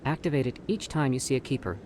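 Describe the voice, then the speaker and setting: A man's voice: mid-range voice, fast talker, darkish tone, slight background noise, natural voice. A man playing a nervous, earnest scientist in a video game, with a hesitant, guarded way of talking. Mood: cautious. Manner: hesitant, stammering, evasive.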